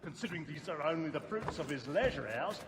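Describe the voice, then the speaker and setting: Male, scheming period crime boss: high, swooping stage declamation under loud music. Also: animated, fast, noisy background. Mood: calculating, appraising. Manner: declamatory, archaic English phrasing. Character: brisk, scheming 18th-century crime boss on a theatre stage. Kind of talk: theatrical period stage acting.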